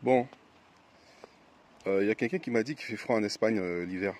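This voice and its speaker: A man's voice: lowish voice, moderate pace, darkish tone, a little hiss or hum. Informal man asking questions. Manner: teasing, informal. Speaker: vlog host and interviewer. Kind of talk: casual interview chat. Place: outdoors in a residential neighbourhood.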